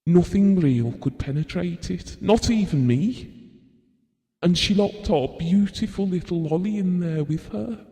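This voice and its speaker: A gentle bereaved father tells his story in an intimate audio drama. His voice is slightly high for a man and mellow, with reflective, slow delivery. Long reverb hangs around every word. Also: lively intonation. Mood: sorrowful. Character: Bill, grieving, gentle, practical husband and bereaved father. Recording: long reverberant hall sound.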